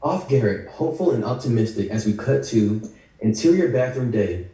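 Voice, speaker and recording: Very mellow male narrator, echoey room. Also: medium pitch, expressive, moderate pace. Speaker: narrator reading stage directions. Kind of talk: scripted narration. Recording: echoey room, distant video call mic.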